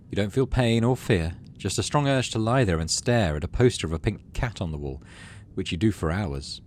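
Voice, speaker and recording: A man with a deep voice reads a game's story aloud in a measured, dramatic way. His pitch moves around a lot, and he slips into casual commentary as a host. There is clear noise behind him. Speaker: gaming channel host. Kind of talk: dramatic read-aloud narration of a game story with casual asides. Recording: clearly audible background noise.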